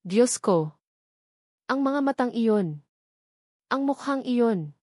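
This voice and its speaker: A woman's voice: a little high, expressive, takes it slowly, a little mellow, no room sound, studio quality. A woman voicing a frightened, secretive young mother in an emotional, pleading manner for an audio drama. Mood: shocked.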